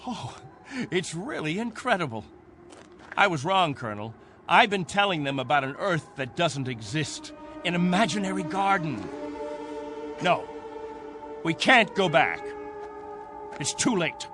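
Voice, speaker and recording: High-voiced, impassioned colony captain. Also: male voice, very animated, unhurried, long pauses, a bit bright, long reverb tail, soft background music. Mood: desperate, pleading. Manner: impassioned, preaching, emphatic. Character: desperate, controlling male captain of a stranded colony. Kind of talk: radio drama acting.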